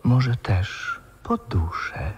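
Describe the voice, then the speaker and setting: A sly, ghostly bird-catcher. He speaks slowly in a very hoarse, creaking half-whisper, insinuating and theatrical. The 1960s radio play recording carries long reverb. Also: lowish voice, wildly swooping pitch, lo-fi sound, exaggerated voice. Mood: ominous. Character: sly, ghostly old bird-catcher from opera, gently teasing.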